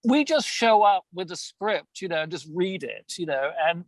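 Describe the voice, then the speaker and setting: A man's voice: high voice, big pitch swings, moderate pace, no echo. A man chatting casually and effusively as an interview guest from inside a car, often repeating words as he goes. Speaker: lead voice actor of an audio theater show, podcast guest. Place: moving car, as a passenger.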